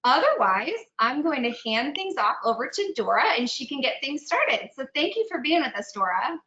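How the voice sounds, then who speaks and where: A woman's voice: high voice, sing-song, moderate pace, pushed and bright. A woman hosting and introducing a webinar as executive director, casual and chatty. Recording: echoey, dull online call audio.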